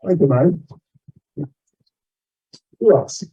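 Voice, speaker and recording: A man's voice: on the high side, lively intonation, very slow, dark tone, slightly rough, poor audio. A man casually hosting a community meeting and inviting people to speak. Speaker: meeting host.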